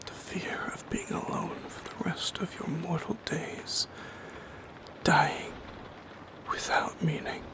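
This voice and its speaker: Man voicing a slow whispering shadow creature. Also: on the high side, fairly even intonation. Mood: sorrowful, haunted. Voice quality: breathy whisper with creaky edges. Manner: slow, halting, solemn, poetic delivery. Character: lonely yearning shadow creature, brooding and tender. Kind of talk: soft-spoken whispered ASMR roleplay.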